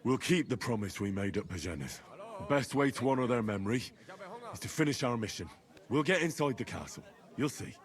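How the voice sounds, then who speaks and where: Man acting loyal young commoner, earnest, reassuring, lively pitch. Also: a little fast, natural voice. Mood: determined.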